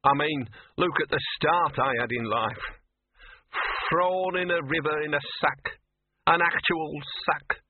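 A man voicing a small, cynical, self-pitying talking dog with a hoarse, slurred, grumbling voice in an audiobook. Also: on the high side, unhurried, phone-quality sound, background noise, pitched above the performer's own voice, natural voice. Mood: maudlin self-pity. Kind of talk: audiobook character voice.

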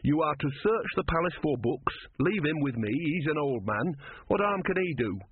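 A man voicing a steady religious novice bluffing authority, on a narrow radio-like recording. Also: a little high, moderate pace, a little hiss or hum, pitched above the performer's own voice, natural voice. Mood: firm. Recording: phone-like narrow radio sound.

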